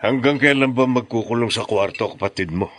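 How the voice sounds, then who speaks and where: A frustrated middle-aged Filipino father, played by a man in a radio drama. He speaks seriously at a medium pitch, troubled, in a dry studio sound. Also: fairly quick, no echo, faint music behind, close to an everyday voice. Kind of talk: Tagalog radio drama acting.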